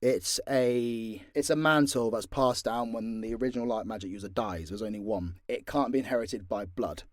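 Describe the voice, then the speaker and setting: A man's voice: on the low side, normal speed, few pauses, no room sound. Blunt British man chatting, dry close sound. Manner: blunt, sweary, informal. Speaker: audio creator and voice actor. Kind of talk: casual unscripted Q&A, reading and answering questions.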